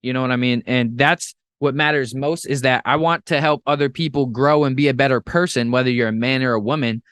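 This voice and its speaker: A young man hosting a podcast in casual chat, talking in an animated, rambling way with playful jumps into a high voice. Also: fairly quick, clear, resonant tone, no echo, clean studio sound. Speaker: podcast host.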